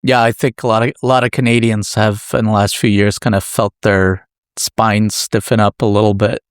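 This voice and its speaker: Low-voiced man interviewing, very dry sound. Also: little pitch movement, moderate pace, smooth, no room sound. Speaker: podcast host, interviewer. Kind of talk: conversational interviewing.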